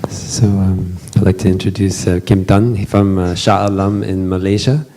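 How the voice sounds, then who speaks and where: Soft-toned man introducing a speaker. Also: low-pitched, flat delivery, moderate pace, a little hiss or hum. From Western country. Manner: hesitant, many fillers and restarts. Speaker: retreat panel moderator. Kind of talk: casual introduction to a gathering.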